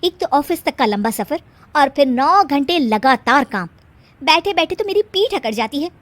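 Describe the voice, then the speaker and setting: A woman's voice: sits high, animated, normal speed, fairly bright tone, dry recording. A woman voicing an overworked, touchy young working mother and daughter-in-law in a moral story. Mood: complaining. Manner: complaining, defensive.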